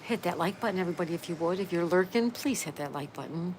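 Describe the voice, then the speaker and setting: A woman's voice: on the low side, expressive, fast talker, a little mellow, a little hiss or hum. A woman in her sixties hosting a casual livestream, chattily reading out comments and greeting viewers. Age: 60s. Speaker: livestream host.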